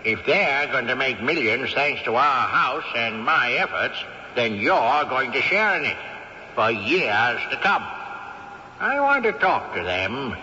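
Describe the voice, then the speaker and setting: A man's voice: medium pitch, big pitch swings, a little slow, sharp tone, heavily acted. An actor in a vintage radio drama playing an indignant, shrewd old great-grandfather ghost, with haughty, theatrical, formal diction. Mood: indignant, scheming. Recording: dull, noisy old radio, echoey hall.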